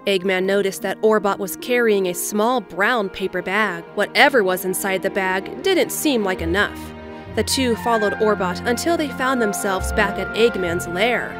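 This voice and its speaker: A woman reading scripted story narration as the narrator of an audio drama. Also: mid-range voice. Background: background music.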